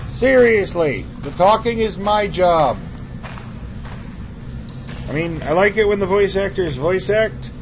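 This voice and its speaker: A man's voice: high-pitched, noisy background. A man hosting a let's play, reading in-game journals aloud and making sarcastic asides. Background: music.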